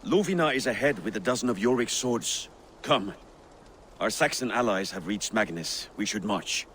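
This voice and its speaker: A man playing a loyal, steady Viking war ally in a video game drama. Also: fairly low pitch, moderate pace, slight background noise.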